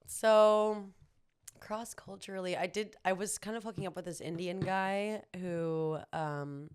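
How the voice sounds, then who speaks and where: A woman's voice: mid-range voice, steady tone, measured pace, clear, resonant tone. A woman, chatting casually in a candid, slightly hesitant way. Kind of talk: casual chat.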